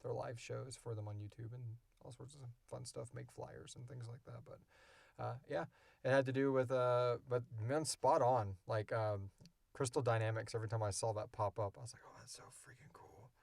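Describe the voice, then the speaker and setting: A man's voice: mid-range voice, fairly even intonation, moderate pace, creaky, a little mellow, dry recording. A man in his thirties hosting a casual podcast Q&A, rambling along in an enthusiastic, jokey way. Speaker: podcast creator and host. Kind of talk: casual Q&A chat.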